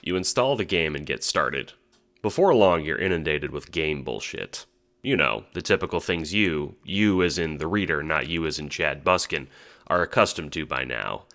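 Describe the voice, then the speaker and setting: Deep, creaky-voiced man narrating an audio story. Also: animated, normal speed, fairly bright tone. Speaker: narrator and producer of an audio drama. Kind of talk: scripted second-person comedic narration.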